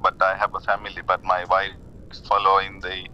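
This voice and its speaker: Sri Lankan man, forties, hesitant conversational delivery, bright edgy tone, somewhat low pitch, on a dull line. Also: completely flat delivery. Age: 40s. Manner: hesitant, halting, repeats words. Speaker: caller, lay Buddhist practitioner. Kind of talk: casual conversation about personal spiritual path. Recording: muffled phone call with rumbling noise.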